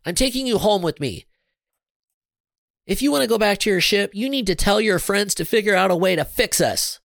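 A man's voice: high-pitched, expressive, fairly quick, vocal fry, no echo, clean studio sound, voice pushed up. A man voicing a determined, feisty young girl in a storybook, speaking in an insistent, emphatic way. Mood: bossy, defiant.

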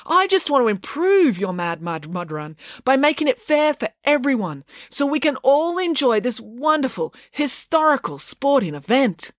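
Woman narrator as a proud young sportswoman, raised pitch, loud rallying declarations, upbeat, dry audiobook sound. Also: very animated, unhurried, hardly any pauses, no echo. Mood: earnest, rallying. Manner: loud declarative public-speech delivery.

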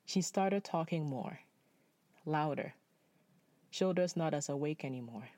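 Quiet reflective man from Nepal. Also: a little high, unhurried, no echo. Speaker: storyteller. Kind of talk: spoken word personal essay.

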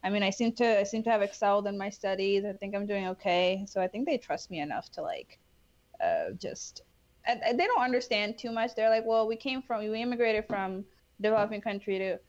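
A woman's voice: medium pitch, animated, brisk. A young woman, born in Bangladesh and raised in New York, answering interview questions in a chatty way with fillers and restarts. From Bangladesh, raised in New York City. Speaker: interview guest, Peace Corps volunteer. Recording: dull lo-fi sound.

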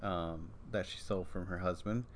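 A young man co-hosting a podcast with his wife, with a deep, jolly voice, joking and swearing casually. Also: steady tone, moderate pace. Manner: jokey, swears freely, mimics voices. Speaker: podcast co-host. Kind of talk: casual banter.

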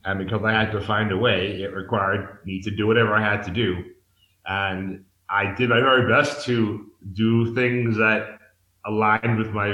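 A man's voice: on the low side, bright, forceful tone, muffled recording. A man in his forties from Massachusetts, candidly telling his life story as an interview guest, earnest and searching, often restarting his sentences. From Massachusetts, United States. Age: 40s. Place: a room in Mexico. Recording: echoey room.

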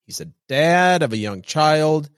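A man's voice: on the high side, lively intonation, measured pace, smooth, dry recording, clean studio sound. A man hosting a podcast, introducing his guest and asking follow-up questions in an enthusiastic, chatty way. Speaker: podcast host. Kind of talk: podcast interview.

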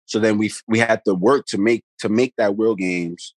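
A man's voice: on the low side, steady tone, moderate pace, no echo. A man in his thirties from New York, telling loose casual stories as a guest on a chat show. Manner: laid-back, loose storytelling with many fillers and quoted voices. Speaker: basketball player guest. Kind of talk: casual storytelling.

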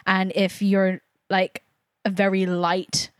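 A woman's voice: medium pitch, completely flat delivery, slow pace, dry recording. Hesitant young woman, dry close sound. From England. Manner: thoughtful, hesitant, many fillers. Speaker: podcast co-host. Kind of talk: casual chat.

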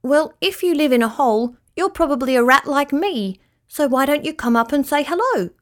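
Woman as storybook sea rat, high voice, lively swings, earnest, apologetic, wondering. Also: unhurried. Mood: apologetic, friendly. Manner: earnest, apologetic, easily puzzled. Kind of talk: children's storybook character voice.

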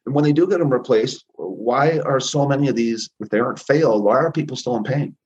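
A man's voice: medium pitch, a little fast, a little mellow, dull audio, a little hiss or hum. A man in his fifties, a guest on a call, talking emphatically and repeating himself with great confidence. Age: 50s. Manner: emphatic, repetitive, self-assured. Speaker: guest, energy healer. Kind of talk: casual interview talk.

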